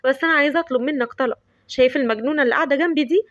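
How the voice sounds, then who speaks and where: A calm young businesswoman with an Egyptian Arabic accent , as a woman voices her in a story. She sounds reassuring, with a light mocking edge, and her speech is brisk. The recording is dry. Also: sits high, no echo.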